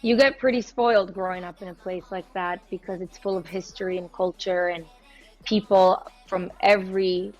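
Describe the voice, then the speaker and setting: A young woman from Israel, a singer, answering interview questions in a warm, proud, flowing way. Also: medium pitch, fairly even intonation, normal speed, dull audio, soft background music. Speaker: guest singer. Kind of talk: casual interview chat.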